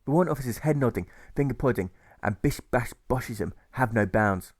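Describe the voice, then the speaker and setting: A man narrates a rhyming audiobook in a mid-pitched voice. His delivery is rhythmic, stressing rhymes and repeated sounds, with a very dry recording. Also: lively intonation, a little fast, no room sound. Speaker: author reading his own audiobook.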